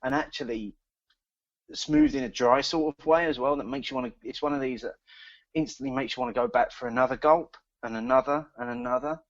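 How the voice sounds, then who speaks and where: A man's voice: mid-range voice, big pitch swings, brisk, creaky, muffled recording. A man co-hosting a beer podcast, chatting enthusiastically as he tastes, often repeating words as he talks. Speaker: podcast co-host. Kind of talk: casual chat.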